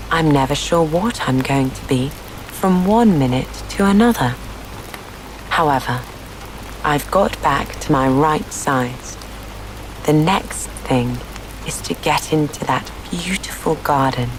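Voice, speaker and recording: A woman's voice: sits low, background noise. A woman puts on an earnest little girl from a bedtime storybook. The child is polite, puzzled and a touch doubtful, speaking without hurry while rain patters faintly behind. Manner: doubtful, truthful, mildly protesting.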